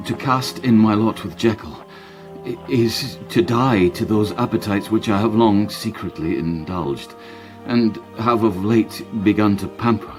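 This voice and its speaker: An actor playing a troubled, secretive Victorian gentleman doctor in a gothic radio drama, speaking hesitantly and gravely. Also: fairly low pitch, a little slow, natural voice. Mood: grave, resigned. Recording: music under voice.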